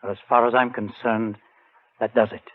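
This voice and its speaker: An actor playing a troubled, reserved bereaved brother in a 1940s radio drama, speaking in a measured, formal, understated way. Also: male voice, mid-range voice, level tone, close to an everyday voice. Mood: grave, measured. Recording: narrow, tinny 1940s radio broadcast.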